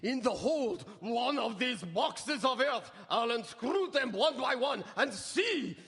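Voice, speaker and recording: An actor playing a terrified, half-mad foreign sailor in a live radio play, with a husky voice and halting, dramatic broken English. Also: male voice, extremely high pitch, expressive, bright, forceful tone, heavily acted. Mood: haunted. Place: town hall stage. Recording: echoey live hall with audience.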